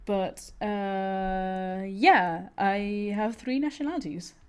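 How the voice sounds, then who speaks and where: A woman's voice: medium pitch, slow, a little mellow, smooth. Giggly British-accented woman chatting. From Italy. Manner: chatty, giggly, restarts often. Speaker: streamer. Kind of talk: casual chat while drawing.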